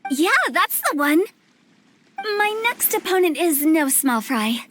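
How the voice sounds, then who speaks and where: A woman's voice: very high-pitched, takes it slowly, very noisy, heavily acted. A woman voicing a playful, mischievous young funeral director with a teasing way of talking, in a video game cutscene. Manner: teasing, lively, rhyming banter. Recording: game audio with soft music behind.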